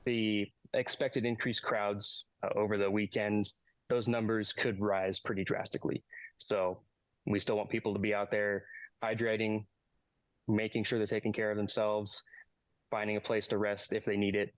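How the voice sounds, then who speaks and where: Man, low flat voice, responder spokesman, unscripted interview. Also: normal speed, poor audio.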